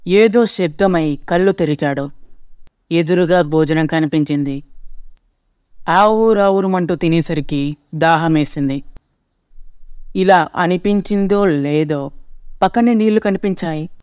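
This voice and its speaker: A man's voice: a little high, measured pace, pauses often, a little mellow, clear, resonant tone, dry recording, clean studio sound. A man narrating a scripted cartoon story as its storyteller.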